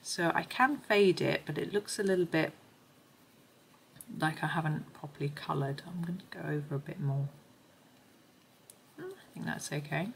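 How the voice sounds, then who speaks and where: A middle-aged woman with a slightly croaky voice, chatting casually and thinking aloud as she demonstrates something on camera. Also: sits low, normal speed, pauses often, slight background noise. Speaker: host of a colouring supplies review. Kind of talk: casual chat while demonstrating.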